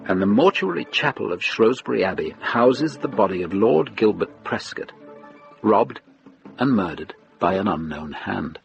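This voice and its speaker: A man narrates a period radio drama as a storyteller. His voice is lowish and gravelly, moving widely in pitch. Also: expressive, normal speed, lo-fi sound, soft background music.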